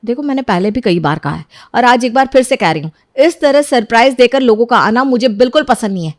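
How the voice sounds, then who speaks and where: A woman voicing a careless, defensive young wife in an animated story. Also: fairly high pitch, fast talker, no room sound, studio quality, lightly acted. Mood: defiant. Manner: defensive, argumentative.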